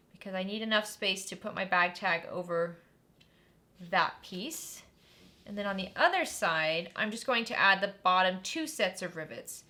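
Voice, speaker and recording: A woman's voice: mid-range voice, sharp tone. A woman hosting a sewing tutorial, chatty and upbeat as she explains each step while she works. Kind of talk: casual step-by-step craft tutorial.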